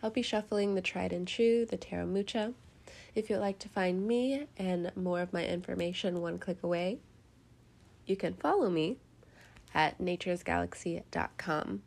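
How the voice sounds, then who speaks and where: Chatty congested woman reading tarot, gravelly. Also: on the low side, normal speed, darkish tone. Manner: chatty, laughs often, rambling asides, casual cursing. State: head cold, congested. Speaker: tarot reader host. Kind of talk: casual tarot reading monologue.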